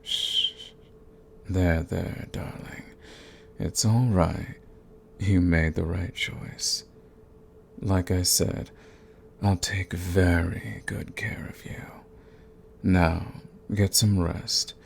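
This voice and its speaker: A man voicing a dominant, possessive, seductive vampire in an intimate ASMR roleplay, coaxing and condescending, at times in a breathy whisper. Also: deep voice, wildly swooping pitch, drawn-out words, long pauses, a little hiss or hum, full character voice. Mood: soothing, possessive.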